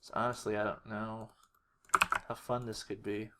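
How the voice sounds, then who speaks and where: Someone giving an informal editing walkthrough, talking haltingly and muttering to themselves while working. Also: mid-range voice, level tone. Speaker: tutorial creator. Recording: noisy home recording, keyboard and clicks. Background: mouse clicks and keyboard typing.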